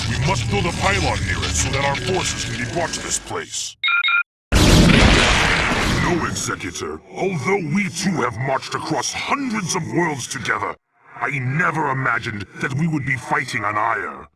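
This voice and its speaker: Veteran alien warrior, noble and martial, grand animated delivery over clear background noise. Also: male voice, medium pitch. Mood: grim respect. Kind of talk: theatrical video game dialogue.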